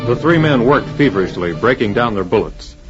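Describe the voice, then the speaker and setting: A man's voice: lowish voice, expressive, brisk. A man announcing and narrating a scripted 1940s radio program in a formal style. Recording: muffled old radio recording. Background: music.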